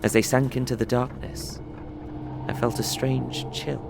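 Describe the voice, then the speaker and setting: An earnest young traveller reading his diary in an audio drama. His mid-pitched voice has a gravelly creak and a measured, apprehensive delivery, over clearly audible music. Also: flat delivery. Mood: uneasy. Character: young English traveller, earnest, uneasy diarist. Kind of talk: dramatised first-person diary narration.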